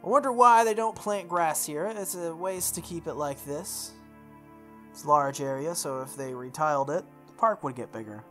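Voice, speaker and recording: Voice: mid-range voice, moderate pace, sharp tone. A person reading visual novel text aloud for a playthrough, stumbling over words and repeating phrases, with offhand asides. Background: music.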